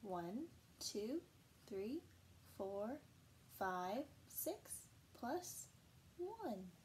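Slow, patient young woman teacher. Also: on the high side, sing-song, darkish tone, slight background noise, rough recording. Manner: slow, patient, sing-song counting. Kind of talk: reading a picture book aloud and counting for young children. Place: small room indoors.

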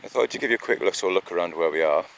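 Middle-aged lancashire guy teaching bushcraft in a field, deep voice, relaxed and chatty, faint outdoor hiss behind him. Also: racing, vocal fry. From England. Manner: relaxed, explanatory, with frequent fillers and restarts. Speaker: bushcraft instructor. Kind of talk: casual outdoor demonstration. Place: outdoors in a grassy meadow by woodland. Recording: faint outdoor background noise.

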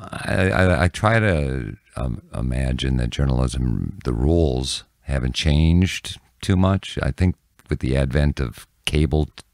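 A man in his sixties answering interview questions casually and reflectively, in a halting, candid way with frequent restarts. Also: extremely low pitch, vocal fry, a little mellow, no room sound, studio quality. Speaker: news anchor and reporter, podcast guest. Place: small dim indoor podcast room. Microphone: close studio microphone on a boom.

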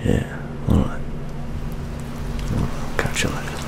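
A young man with a British accent playing a weary, fatherly police detective in a hushed, partly whispered ASMR roleplay. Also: slow, heavy background noise, natural voice. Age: young adult.

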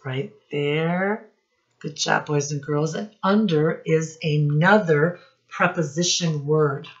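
A middle-aged woman reading a picture book aloud to young children as a lesson, prompting them with questions and drawing out key words. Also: low voice. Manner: instructive, prompting listeners with questions, drawn-out emphasis on key words. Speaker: children's storytime teacher and reader. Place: small room indoors. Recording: dull, lo-fi webcam sound.

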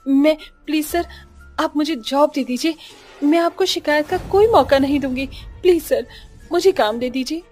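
A woman's voice: high-pitched, normal speed, darkish tone, soft background music, natural voice. A woman playing a desperate pregnant job seeker in a melodramatic cartoon, pleading tearfully.

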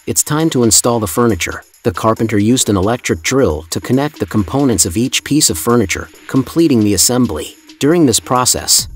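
A man reading a scripted documentary voice-over in a formal, admiring, evenly paced way. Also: medium pitch. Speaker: narrator. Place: voice-over, not the pictured building site. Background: soft background music.

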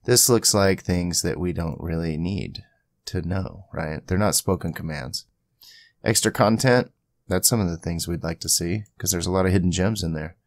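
A man giving a casual screen-capture software tutorial, thinking aloud informally as he goes. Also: low voice, moderate pace, no echo. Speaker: tutorial host.

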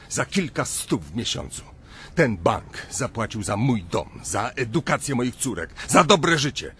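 A man playing a gruff, foul-mouthed middle-aged banker in a radio drama, blunt and confrontational. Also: medium pitch, a bit bright, heavily acted.

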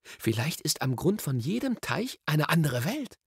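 A man voicing an eager, curious, bossy young schoolboy in a storybook, explaining things with impatient excitement. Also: on the high side, unhurried, gravelly, slightly rough, no room sound, clean studio sound, voice pushed up, exaggerated voice.

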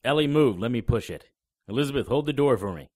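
Guy playing a wry tough detective in a radio drama, really animated delivery. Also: mid-range voice, fast, a little hiss or hum, close to an everyday voice. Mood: urgent. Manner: sardonic. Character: wry, tough male private investigator. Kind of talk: audio drama acting with narration.

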